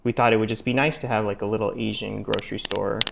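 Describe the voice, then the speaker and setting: A middle-aged man who grew up in Taiwan, a chef talking in a relaxed, deadpan way with many fillers during a casual interview. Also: lowish voice, fast, gravelly, a little hiss or hum. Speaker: chef and restaurateur guest.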